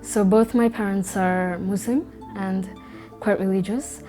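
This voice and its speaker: A young woman from Italy, calmly telling her own story with some fillers. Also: mid-range voice, soft background music. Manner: calm, measured, with fillers. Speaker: documentary interviewee. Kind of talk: personal testimony.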